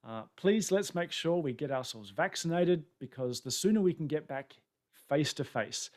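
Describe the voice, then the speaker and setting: A man's voice: on the high side, animated, moderate pace, a little mellow. A man from Australia with an Australian accent, giving a chatty, self-deprecating webinar presentation over a video call from an indoor room. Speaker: conference presenter. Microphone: webcam setup on a video call.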